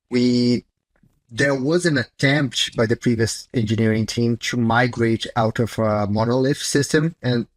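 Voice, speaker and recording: A man's voice: medium pitch, dry recording. Blunt Brazilian-accented man on a video call. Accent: Brazilian Portuguese. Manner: candid, blunt, loose non-native phrasing. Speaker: chief technology officer guest. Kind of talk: casual conversational interview. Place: small home office, indoors.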